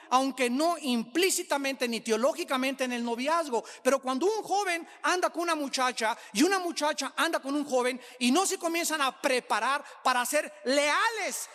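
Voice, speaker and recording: High-pitched, emphatic Mexican male preacher. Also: sharp tone. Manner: emphatic, repeats phrases for effect. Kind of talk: sermon. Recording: echoing hall with long reverb.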